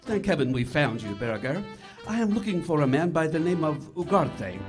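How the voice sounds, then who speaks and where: An actor playing a calm, resolute, courteous resistance leader in a 1940s radio play, measured and assured. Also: male voice, on the high side, music in the background, natural voice. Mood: composed, resolute.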